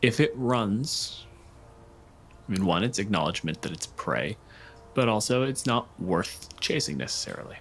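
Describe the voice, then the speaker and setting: A man's voice: on the low side, expressive, normal speed, a little hiss or hum. A man casually refereeing a tabletop game as the game master.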